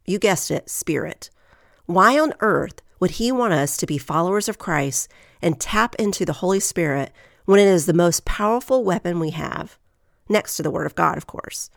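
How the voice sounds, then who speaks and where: A woman hosts a faith podcast in an earnest, encouraging way, her voice nasal from a lingering head cold. There is a gravelly rasp under her somewhat low voice. The recording is close and dry, with no room sound. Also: lively intonation, normal speed, no room sound. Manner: earnest, encouraging, reads scripture aloud. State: getting over a head cold. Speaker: podcast host.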